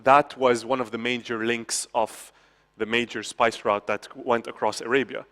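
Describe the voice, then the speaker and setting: A man gives a conference talk in a casual, chatty way. He checks in with the audience and restarts his sentences often. His voice sits at a middle pitch, and a long hall reverb trails behind it. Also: little pitch movement, moderate pace, pushed and bright. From Lebanon. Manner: conversational, frequent "okay?" asides and restarts. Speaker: conference speaker.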